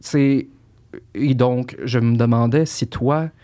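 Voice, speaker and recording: A man's voice: mid-range voice, steady tone, slow, warm tone. A man with a Québécois accent, hosting a casual podcast interview, reflective and earnest as he speaks personally. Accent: Québécois French. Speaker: podcast host.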